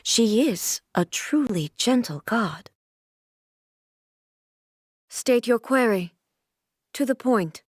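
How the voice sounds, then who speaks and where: A woman's voice: a little high, lively intonation, breathy edge, dry recording, clean studio sound, heavily acted. A woman playing a stern, regal deity ruler in a video game, speaking in a formal, composed, stately way. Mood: reverent, calm. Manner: formal, stately, measured delivery. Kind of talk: scripted video game character voice lines.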